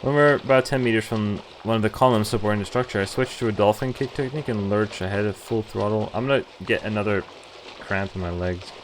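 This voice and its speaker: A man's voice: lowish voice, fast, slight background noise, low-quality recording. A man streaming a visual novel, reading it aloud and adding casual, playful, reactive commentary. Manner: playful, reactive, acts out dialogue. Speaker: game streamer. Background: game music.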